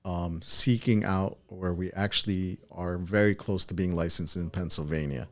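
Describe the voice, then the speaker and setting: A man speaking casually as an interview guest, in a halting way, often repeating and correcting himself. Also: low voice, fairly even intonation. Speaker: podcast guest, title company executive. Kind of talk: casual business interview chat.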